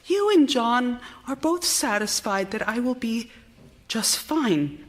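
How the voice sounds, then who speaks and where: Anxious, slow-speaking exhausted young wife. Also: female voice, a little high, lively intonation. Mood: distressed, pleading. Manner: halting, intense, slipping into hushed whispers. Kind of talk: period radio theater acting, monologue and dialogue. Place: theater stage, live performance.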